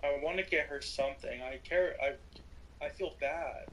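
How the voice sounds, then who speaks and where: A man's voice: a little high, unhurried, fairly bright tone, a little hiss or hum, poor audio. A man chatting casually as he roleplays in a video game. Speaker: Minecraft roleplay player.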